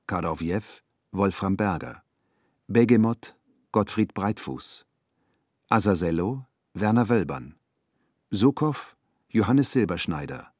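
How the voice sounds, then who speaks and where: Ein Mann liest als Ansager sachlich die Besetzung eines Hörspiels vor. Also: male voice, lowish voice, a little mellow, no room sound, clean studio sound. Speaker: announcer reading the credits. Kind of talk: measured scripted announcement.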